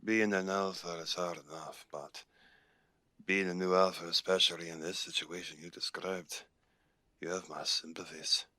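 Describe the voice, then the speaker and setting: A man playing a guarded, seasoned werewolf pack leader with an Irish accent in an audio roleplay, speaking in a measured, grave way. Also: low voice, steady tone, bright, forceful tone, no echo. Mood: grave, sympathetic.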